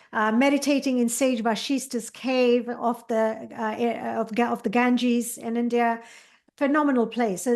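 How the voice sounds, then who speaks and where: Enthusiastic woman, slightly high voice. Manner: enthusiastic, many fillers and restarts. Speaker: author and meditation coach, podcast guest. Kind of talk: interview chat.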